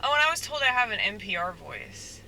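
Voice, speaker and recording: Soft-spoken man with a very bright voice. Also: high-pitched, big pitch swings, vocal fry, slight background noise. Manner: halting, soft-spoken, full of fillers. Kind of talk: rambling casual monologue.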